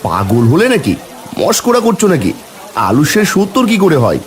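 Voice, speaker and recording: A meek village husband and father from a cartoon, played by a man, with a slightly high voice. His pitch moves around a lot, and he sounds plaintive and expressive, like a put-upon shopper. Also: moderate pace. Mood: surprised, indignant. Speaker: cartoon voice actor.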